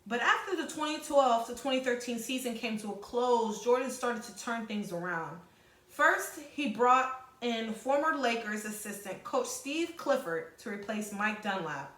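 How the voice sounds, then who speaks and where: Bright-voiced woman teacher in an echoey room. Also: on the high side. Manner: informal, addresses pupils as y'all; halting, self-correcting reading. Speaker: sixth-grade teacher. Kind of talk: read-aloud lesson with explanatory asides to pupils.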